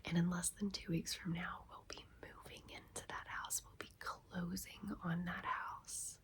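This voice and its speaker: Whispering woman, slow ASMR storyteller. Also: fairly low pitch, level tone. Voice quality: breathy whisper. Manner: intimate, chatty, unhurried. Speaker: ASMR video host.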